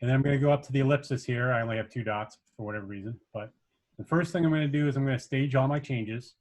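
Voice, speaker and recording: A man instructing a workshop, explaining things step by step in an informal, halting way as he shares his screen. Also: medium pitch. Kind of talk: casual screen-share coding tutorial.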